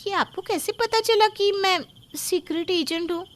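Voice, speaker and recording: A woman's voice: extremely high pitch, moderate pace, a little hiss or hum, voice pushed up. A woman playing an overworked young daughter-in-law who complains dramatically in a story. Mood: resigned, dramatic. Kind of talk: moral story dialogue.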